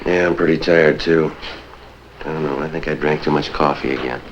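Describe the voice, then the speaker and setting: Deadpan american cop sergeant, low clipped voice, 1950s crime show, muffled old soundtrack. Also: male voice, fairly quick, noisy background. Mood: weary, flat. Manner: clipped, deadpan, matter-of-fact. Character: terse, businesslike male police sergeant detective. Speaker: lead detective and narrator. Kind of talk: 1950s police procedural acting and narration. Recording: dull 1950s film soundtrack, music underneath.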